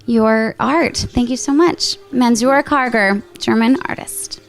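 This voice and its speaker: A woman's voice: fairly high pitch, unhurried, soft background music. A woman hosting a TV interview, upbeat and chatty, affirming her guests. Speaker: host.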